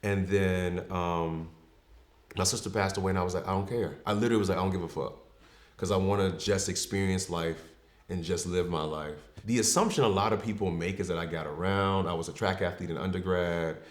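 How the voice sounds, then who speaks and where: Deep-voiced man in his thirties, reflective. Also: steady tone, a little fast. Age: 30s. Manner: reflective and open, with frequent fillers. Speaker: podcast guest. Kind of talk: candid personal storytelling. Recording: echoey room.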